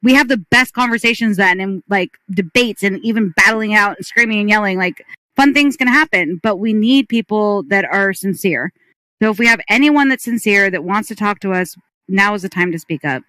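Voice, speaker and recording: A woman's voice: medium pitch, moderate pace, bright, forceful tone, no echo, low-quality recording. A woman hosting a live voice chat panel, firm and demanding as she presses a caller for evidence. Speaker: panel host. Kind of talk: heated live voice chat debate.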